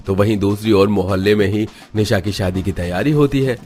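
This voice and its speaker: A man's voice: fairly low pitch, brisk, darkish tone, faint music behind. A man reading scripted narration for a cartoon family story.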